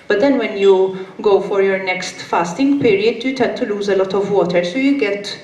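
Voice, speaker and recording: A woman's voice: mid-range voice, normal speed, a little mellow. A woman giving a conference talk, hesitant and full of fillers. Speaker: conference speaker. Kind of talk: conference presentation. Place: conference hall. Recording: echoey hall with faint noise.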